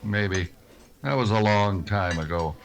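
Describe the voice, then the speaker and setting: A man playing a weary, plain-spoken lawman in a radio western, terse and deadpan. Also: fairly low pitch, measured pace. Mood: calm, grave. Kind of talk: old-time radio western acting.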